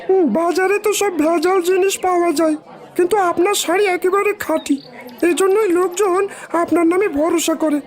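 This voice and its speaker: A woman acts an appreciative cartoon shopper with a very high voice. She is polite and trusting, with a faint crowd behind her. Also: steady tone, brisk. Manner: approving, polite. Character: trusting, appreciative woman customer at village market. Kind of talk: animated moral story dialogue.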